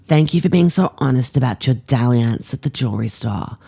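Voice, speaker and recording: A woman's voice: extremely low pitch, animated, fairly quick, gravelly, mellow, slightly rough, exaggerated voice. A woman reading aloud a letter as a doting old grandfather, fond and old-fashioned. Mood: fond, forgiving.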